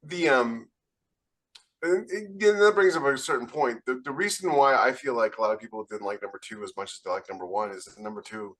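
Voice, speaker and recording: A man co-hosting a podcast in casual panel chat, telling things in an animated, rambling way. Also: mid-range voice, brisk, low-quality recording. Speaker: podcast co-host, military science fiction author.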